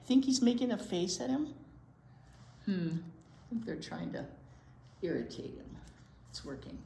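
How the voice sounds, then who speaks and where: A woman reading a picture book aloud to young children in an expressive way, pausing to explain words simply. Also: mid-range voice. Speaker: library storytime presenter, children's teacher. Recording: roomy echo, light background noise.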